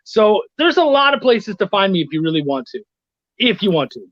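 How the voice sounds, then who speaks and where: A man with a notably high-pitched voice chats casually as a guest. He speaks in an informal, slangy way. The recording is dry and somewhat dull, like lo-fi call audio. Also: big pitch swings, fairly quick, fairly bright tone, no echo. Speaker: guest, comics writer and editor. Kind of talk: casual video chat.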